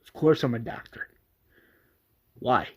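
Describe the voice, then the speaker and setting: A man's voice: medium pitch, lively intonation, measured pace, darkish tone, husky. Man acting a worried, insistent doctor. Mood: worried urgency. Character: worried, urgent male doctor.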